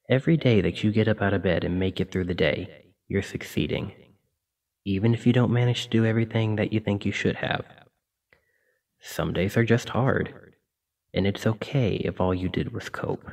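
Gravelly, gentle demon played by a man. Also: fairly low pitch, distant mic. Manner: gentle, reassuring, informal. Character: kindly, apologetic, encouraging male sleep paralysis demon. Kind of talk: ASMR roleplay, soft reassuring monologue.

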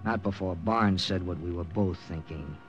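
An actor playing a terse, commanding American wartime intelligence captain with an American accent in an old-time radio drama. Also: male voice, sits low, moderate pace, lo-fi sound, close to an everyday voice. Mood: tense narrating. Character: hard-boiled American OSS captain narrating his wartime mission. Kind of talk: 1940s-50s radio drama narration and acting. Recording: vintage radio drama with music.

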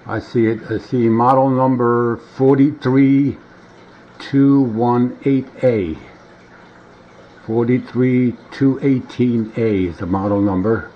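A man's voice: medium pitch, fairly even intonation, slow. A middle-aged man casually showing a gadget in a rambling, muttering way, recorded at a table at home. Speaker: vintage gadget demonstrator. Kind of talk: casual hands-on demonstration. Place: indoors at a wooden table at home. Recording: dull, noisy home camera audio.

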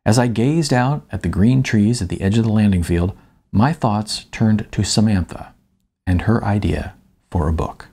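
A middle-aged American man narrates an audiobook in the first person. His voice sits a little low, with a gravelly creak and a husky edge. He sounds like an author reading his own work. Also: moderate pace, warm tone. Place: small indoor room, seated at a table.

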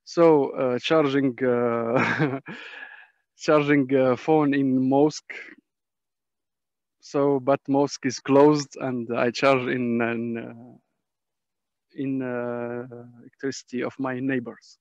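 A man who is a non-native English speaker gives informal testimony as a panel speaker, haltingly searching for words in broken English on a muffled video call. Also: medium pitch, little pitch movement, darkish tone, no room sound. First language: not English. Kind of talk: informal spoken testimony in a webinar. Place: on a video call from a dim space.

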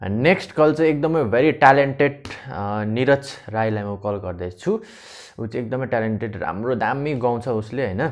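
A man's voice: mid-range voice, moderate pace. A young man, chatting casually as a prank channel host. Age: young adult. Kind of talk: casual chat to camera. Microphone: lapel mic.

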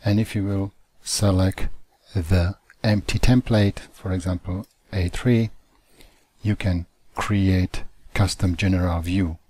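Slow, husky-voiced man teaching software. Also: low voice, steady tone. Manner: slow, halting phrasing with non-native grammar. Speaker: CAD software tutor. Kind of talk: screen-recorded software tutorial.

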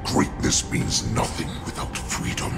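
An actor playing a huge, imperious wolf-beast warlord in a video game, growling with domineering contempt. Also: male voice, a little slow, close to an everyday voice. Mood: commanding. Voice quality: deep, gravelly growl. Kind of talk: dramatic video game voice acting. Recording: loud music behind the voice. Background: dramatic game music.

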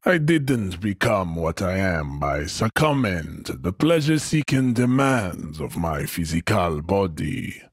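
An imposing, pompous ideologue from a video game. His low voice swoops through grand, scornful declamations. The sound is dry and close, every pronouncement full of proud disdain. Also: very animated, a little slow, few pauses, husky, no room sound, heavily acted. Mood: proud, lecturing. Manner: grandiloquent, declamatory, emphatic listing. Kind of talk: theatrical video game character acting.

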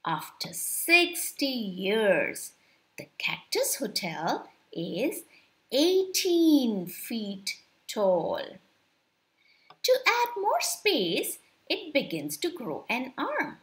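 A young woman reading a children's story aloud in an expressive, deliberate storytelling manner. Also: high voice. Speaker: storyteller, channel host.

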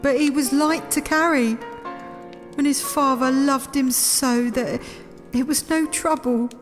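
A woman's voice: sits high, steady tone, a little slow. An actress playing a tender, grieving mother in an audio drama. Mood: sorrowful. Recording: reverberant, music underneath. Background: music.

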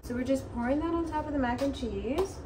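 A woman's voice: high-pitched, brisk. A woman in her twenties casually narrating a home cooking vlog, recorded in a kitchen from a few steps away. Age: 20s. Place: small home kitchen, indoors. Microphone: camera or phone a few steps away. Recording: distant mic, noisy kitchen ambience.

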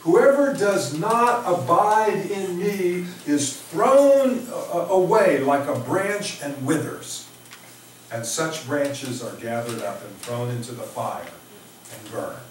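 A middle-aged man from Oklahoma with an American accent, preaching an informal sermon in a halting, reflective way with emphatic pauses. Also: fairly high pitch, animated. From Oklahoma, United States. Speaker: pastor preaching. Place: small church sanctuary, indoors. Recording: echoey church, distant microphone, faint noise.

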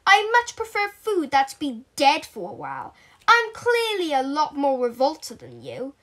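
A woman's voice: high voice, wildly swooping pitch, a little slow, a bit bright, exaggerated voice. A woman voicing a bald, smelly vulture who boasts in rhyme. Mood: boastful. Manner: boastful, rhyming.

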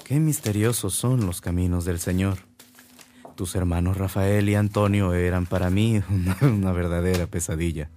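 Deep-voiced kindly bishop, played by a man. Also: steady tone, normal speed, mellow, lightly acted. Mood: kindly, reflective. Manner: solemn, benevolent. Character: kindly, dignified bishop, warm toward his priests. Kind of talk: radio drama acting.

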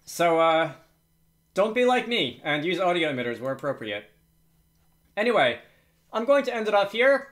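A man's voice: high-pitched, big pitch swings, moderate pace, long pauses, a bit bright. A man presenting a casual, unscripted screencast tutorial, hesitantly thinking aloud as he works. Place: indoors at a computer.

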